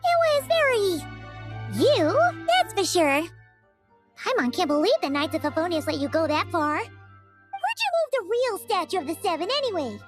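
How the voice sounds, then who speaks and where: Squeaky, very high-pitched fairy companion. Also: female voice, sing-song, moderate pace, over music. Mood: curious. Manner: exclamatory, refers to self in third person. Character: small excitable childlike female floating fairy companion. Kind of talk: anime-style game voice acting.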